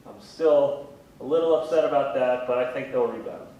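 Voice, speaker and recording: A man in his thirties chatting casually in an interview, with a wry, gently self-deprecating way of talking. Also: normal speed, highs cut off. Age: 30s. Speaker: museum communications specialist, interviewee. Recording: very echoey room, distant mic, faint rumbling noise.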